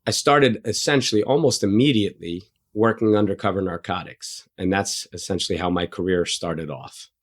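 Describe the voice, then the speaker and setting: A man's voice: low-pitched, normal speed, few pauses. A middle-aged man from Egypt, raised in New Jersey, telling stories as an interview guest in a blunt, self-deprecating way with casual swearing. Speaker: interview guest, undercover agent. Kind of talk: candid interview storytelling.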